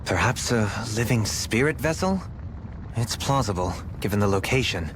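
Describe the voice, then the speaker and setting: A man voicing a composed, erudite companion in a video game, measured and formal as he reasons things out. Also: lowish voice, lively intonation, noisy background, lightly acted. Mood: analytical. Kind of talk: video game cutscene acting.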